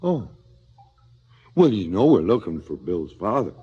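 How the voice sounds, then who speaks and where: Slow, sardonic, swooping cop. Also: male voice, on the low side, big pitch swings, mellow, breathy edge, exaggerated voice. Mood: wry, teasing. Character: wry, fatalistic male cop, slow and mocking. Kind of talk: 1970s stereo radio drama acting. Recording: slightly dull 1970s radio recording.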